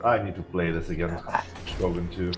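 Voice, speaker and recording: A man's voice: sits low, steady tone, fairly quick. A man with a nasal voice chatting easygoingly with a friend during a game stream. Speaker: co-host friend. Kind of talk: casual gaming chat.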